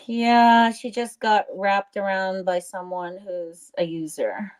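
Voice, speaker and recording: A woman's voice: mid-range voice, steady tone, takes it slowly, a little mellow, clean tone. A woman chatting casually on a live stream as a co-host, with an informal, outspoken and indignant way of talking.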